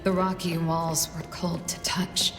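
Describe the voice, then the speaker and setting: A woman voicing a guarded, cool-headed game character in a measured, curt manner. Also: fairly low pitch, little pitch movement. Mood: somber, reflective. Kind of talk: scripted game dialogue. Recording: echoey, with music behind.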